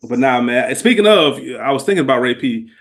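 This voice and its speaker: Informal male podcaster, medium pitch. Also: expressive, a little fast, a loud crowd. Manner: informal, slangy, conversational. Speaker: podcast co-host. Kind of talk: casual podcast chat.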